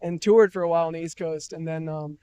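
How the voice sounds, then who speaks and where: A man's voice: fairly high pitch, fairly even intonation, fast talker, a little mellow, clear, resonant tone. A young man from New Hampshire, a musician being interviewed at a festival, talking in a thoughtful, rambling way with many restarts. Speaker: musician guest. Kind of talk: casual interview.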